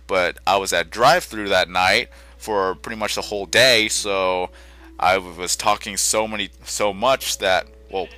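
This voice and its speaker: A tired young man rambling through casual gameplay commentary, often restarting his sentences and correcting himself, with a soft, quiet voice. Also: low voice, steady tone, unhurried. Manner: rambling, self-correcting chatter. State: tired from little sleep. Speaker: gaming channel host. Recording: game music faintly underneath. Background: faint game music.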